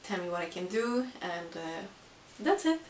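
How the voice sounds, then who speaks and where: Woman book vlogger, english not first language, casual halting chat, echoey room, bit far from mic. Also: on the low side, very animated. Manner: hesitant, halting delivery. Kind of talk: casual chat to camera about books. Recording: roomy, distant mic with hiss.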